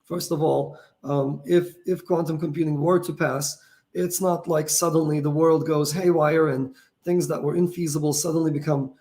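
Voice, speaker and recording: A man's voice: on the high side, darkish tone. A middle-aged man who is losing his voice and feeling tired, explaining technical topics in a casual way and thinking aloud with many fillers and restarts. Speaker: founder answering viewer questions.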